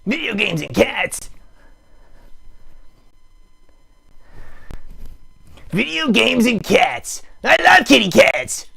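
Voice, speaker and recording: A man voicing a chatty, goofy puppet who hosts a live show, rambling playfully in a raspy, croaky voice. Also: high voice, a bit bright, exaggerated voice. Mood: musing. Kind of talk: improvised comic rambling to live chat.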